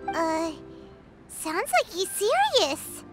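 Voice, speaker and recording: An actress voicing a tiny, eager childlike fairy companion with a squeaky voice in a cartoonish fantasy game. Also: female voice, sing-song, extremely slow, full character voice. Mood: startled. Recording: prominent music behind the voice.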